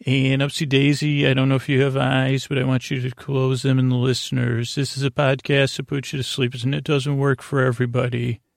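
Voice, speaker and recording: Creaky-voiced man rambling at bedtime. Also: mid-range voice, flat delivery, no room sound, clean studio sound. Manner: meandering, halting, trails off mid-sentence; whimsical, talks to an imaginary guest. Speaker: host of a bedtime podcast. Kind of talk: rambling improvised bedtime monologue.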